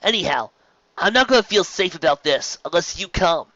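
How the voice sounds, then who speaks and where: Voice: medium pitch, lively intonation, moderate pace, bright, forceful tone, no echo, dull audio, voice pushed up. A woman voicing an earnest, impatient young male dragon in a cartoon fan story, urgent and a little anxious. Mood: encouraging. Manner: pleading, urgent.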